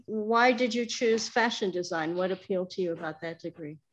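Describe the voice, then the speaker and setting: A woman's voice: lowish voice, brisk, poor audio. A woman hosting a conversational interview, asking questions and chatting with her guest.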